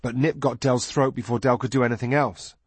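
A man's voice: mid-range voice, fairly even intonation, brisk, slightly rough, no echo, voice pushed up, lightly acted. A man voicing eager, squabbling young boys with childlike, unschooled speech in an audiobook story. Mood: earnest, excited. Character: eager, squabbling young boys, twin brothers, bloodthirsty and righteous.

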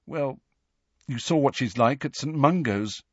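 A man voicing a meek, downcast, unconfident teenage schoolboy who speaks quietly in an audiobook. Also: medium pitch, a little slow, no room sound, lo-fi sound, pitched above the performer's own voice, close to an everyday voice. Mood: subdued, miserable. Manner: quiet, subdued.

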